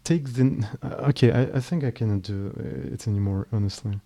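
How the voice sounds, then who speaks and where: A young man with a Slavic accent, live-streaming his programming and thinking aloud in a tired, halting way. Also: on the low side, mellow. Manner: halting, thinking aloud, trailing off mid-sentence. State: mentally tired. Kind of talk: casual live coding stream.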